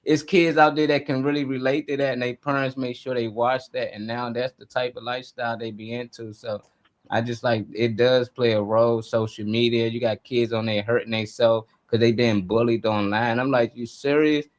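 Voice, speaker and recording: Male talk show host, middle pitch, informal and colloquial, chatting casually and telling it like a story. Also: steady tone, normal speed. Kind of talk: casual chat, live show discussion.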